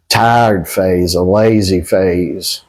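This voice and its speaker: A man with a Southern American accent talks casually to his viewers in a fairly low voice. He takes his time, rambling along at a slow, halting pace, with a blunt, folksy manner. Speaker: boxing channel host.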